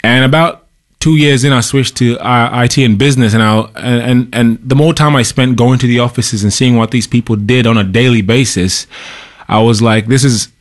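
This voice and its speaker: A young man from Zambia, an actor, talking in a relaxed and chatty way as a guest in a radio studio interview. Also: medium pitch, moderate pace. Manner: relaxed, chatty, laughs easily.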